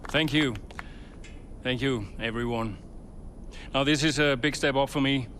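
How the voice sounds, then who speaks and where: A man playing an earnest racing team principal and mentor, speaking in a measured, thoughtful, hesitant way. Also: medium pitch, a bit bright, a little hiss or hum, natural voice. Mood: grateful. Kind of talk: documentary interview and speech.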